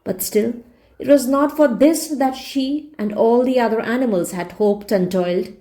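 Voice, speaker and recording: A woman's voice: fairly high pitch, normal speed, dark tone. A woman narrating a story like a read-along English teacher, explaining as she reads. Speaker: reader and English teacher explaining word meanings. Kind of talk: audiobook-style narration with word explanations.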